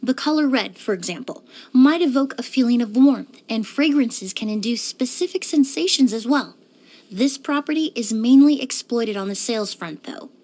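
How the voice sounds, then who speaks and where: A woman playing a composed, courteous young woman perfumer in a video game, speaking in a polite, measured, softly formal way. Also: fairly high pitch, expressive. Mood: courteous, inquisitive. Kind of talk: scripted video game character lines.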